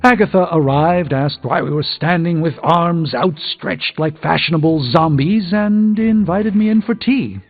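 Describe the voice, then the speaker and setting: An actor voicing an urbane, proud middle-aged sorcerer in a video game, speaking with eloquent, theatrical dry wit. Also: male voice, fairly high pitch, a little slow, few pauses. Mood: wryly amused. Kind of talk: scripted video game dialogue. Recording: thin phone-like band, loud music behind.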